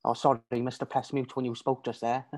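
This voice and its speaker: A woman's voice: a bass voice, level tone, moderate pace, darkish tone, no room sound. A woman acting in a drama scene as a cocky, evasive young male drug dealer, dismissive and mocking, with a British accent. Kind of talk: acted scene from a drama showcase.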